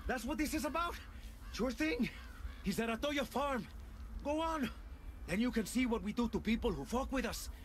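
High-pitched sneering cartel gunman. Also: animated, moderate pace, pauses often, a little hiss or hum. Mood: mocking. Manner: sneering, mocking. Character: defiant foul-mouthed male cartel gunman, taunting.

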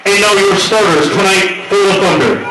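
A man's voice: sits high, moderate pace, far from the mic. An American man working as a stadium public-address announcer, reading formal introductions in a projected voice and stretching out names for emphasis. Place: outdoor stadium at night, over loudspeakers. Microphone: PA microphone, picked up from far off. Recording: echoey stadium PA from afar, crowd noise. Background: crowd and stadium noise.

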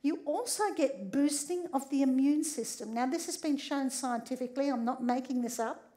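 A woman, giving a lively talk on a theatre stage. Her voice is a bit croaky, and she keeps checking in with the audience with a quick "right?". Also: sits high. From Australia. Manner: conversational, often tags lines with "right?". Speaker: speaker giving a public talk. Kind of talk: lively public lecture.

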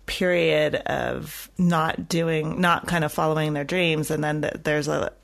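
Woman, podcast interviewer, lowish pitch, casual conversational delivery. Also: fairly even intonation, a little slow, creaky. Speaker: podcast host interviewing a guest.

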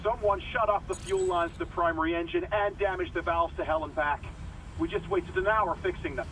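An actor playing a weary, alarmed engineer on a mining spaceship, recording a dramatic audio log for a game. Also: male voice, high voice, fairly even intonation, fairly quick, a bit bright, a little hiss or hum, poor audio. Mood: frustrated.

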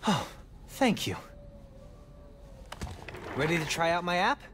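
A man playing a chatty, enthusiastic teenage boy tech whiz in a video game, casual and excitable. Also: fairly high pitch, slow pace, background noise. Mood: excited. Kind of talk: naturalistic video game acting.

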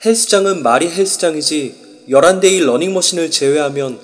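A man's voice: on the high side, lively intonation, fairly quick, big-room sound, lightly acted. An actor narrating in the first person as a tense young male player in an audio drama. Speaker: narrator and lead character.

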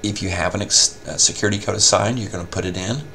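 A man giving a casual, step-by-step software tutorial as a help desk instructor. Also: deep voice, quick pace, slight background noise. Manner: informal step-by-step explaining.

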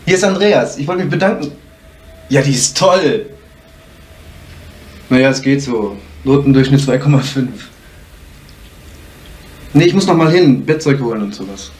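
An actor playing a friendly young son in a 1970s radio play. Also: male voice, medium pitch, expressive, moderate pace, long pauses, darkish tone, lightly acted. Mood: cheerful. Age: young adult. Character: friendly young son phoning his father. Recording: noisy, echoey old radio play recording.

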